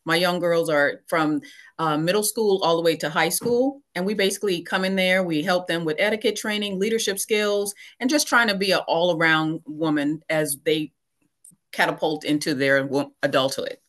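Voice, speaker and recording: A woman's voice: fairly low pitch, moderate pace, a bit bright. A woman in her forties from the Bahamas, a teacher and entrepreneur, talking casually on a podcast over a video call, explaining things plainly with fillers. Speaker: guest.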